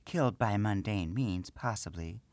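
A man's voice: lowish voice, normal speed, a little mellow, dry recording, lightly acted. A man voicing a jumpy, scolding middle-aged female gnome priestess in a storybook reading. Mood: brisk.

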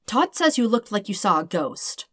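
A woman voicing a serious, measured woman who deadpans as she teases a friend in an audiobook story. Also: medium pitch, sing-song, a bit bright, husky, pitched above the performer's own voice. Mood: teasing. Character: serious, slow-spoken woman teasing her friend. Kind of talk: acted dialogue in fan fiction audiobook.

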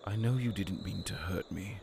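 A low, creaky-voiced younger brother from a fantasy drama, played by a man. He sounds worn out and forgiving, with a stubborn edge. His words come slowly over noisy cricket ambience. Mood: reassuring. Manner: weary, sighing, mildly stubborn. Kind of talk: fantasy audio drama acting.

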